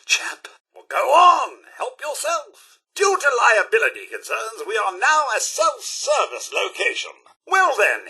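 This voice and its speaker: A prim British robot butler from a video game, speaking in a very bright, piercing voice. The pitch is high and swoops dramatically through every phrase. He is theatrical and deferential, with a faintly sardonic edge. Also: breathy edge, full character voice. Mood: solicitous encouragement. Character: polite, fussy robot butler, prim and eager to serve. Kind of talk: video game companion voice lines.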